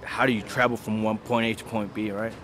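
Young man chatting casually, low voice. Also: a little fast, a little hiss or hum. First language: English. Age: young adult. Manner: relaxed, chatty, lots of "like" and "right?". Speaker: interviewee. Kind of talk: casual interview.

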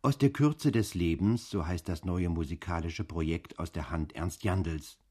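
A man's voice: low voice, unhurried, a little mellow, slightly rough. A man presenting on radio, reading a scripted introduction in an arts magazine style.

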